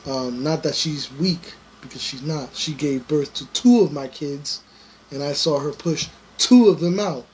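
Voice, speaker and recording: A man's voice: on the high side, a little slow, slight background noise, low-quality recording. A young man co-hosting a podcast, chatting casually with many fillers and treading carefully as he reacts to a video. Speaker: podcast co-host.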